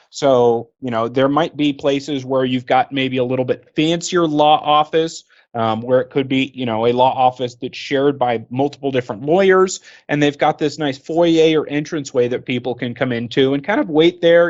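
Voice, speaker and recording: A man's voice: mid-range voice, darkish tone, lo-fi sound. A man working as a product trainer, explaining things informally and conversationally with everyday examples.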